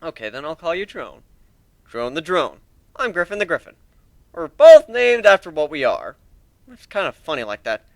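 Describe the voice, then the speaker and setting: A man's voice: on the high side, sing-song, normal speed, no echo. A man voicing a cocky, menacing male griffin warrior, taunting and sarcastic, in a dramatic fantasy story reading. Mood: mocking, casual.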